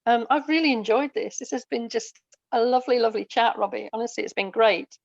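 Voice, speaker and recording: A woman chatting as an interview guest, thoughtful and halting, repeating words as she thinks. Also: on the high side, fairly even intonation, vocal fry, dry recording, highs cut off. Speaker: podcast guest, audio dramatist. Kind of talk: casual conversational interview.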